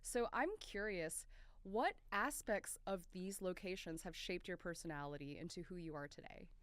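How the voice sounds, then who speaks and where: A woman's voice: lowish voice, normal speed, a bit bright, dry recording. A woman hosting a podcast, asking long questions in a chatty, encouraging way. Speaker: podcast host. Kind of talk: casual interview conversation.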